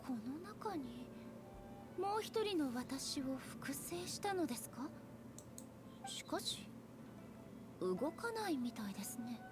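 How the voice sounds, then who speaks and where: An actress playing a polite, curious, composed female anime game character with a formal, measured manner. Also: high voice, sing-song. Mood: composed curiosity. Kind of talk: Japanese anime game voice acting. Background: game music.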